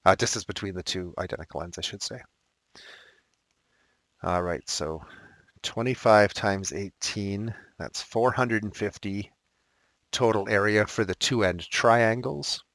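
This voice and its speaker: A man teaching a recorded math lesson, thinking aloud and correcting himself as he works problems, his voice slightly raspy. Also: on the low side, measured pace, pauses often, fairly bright tone, dry recording.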